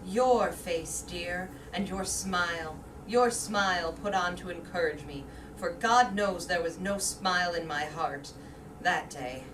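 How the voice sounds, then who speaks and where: A woman acts out a vain middle-aged wife who dreads growing old. She is theatrical and emotional, heard from a distance in a roomy space with clear background noise. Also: on the low side, slow. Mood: tender. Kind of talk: staged reader's theater.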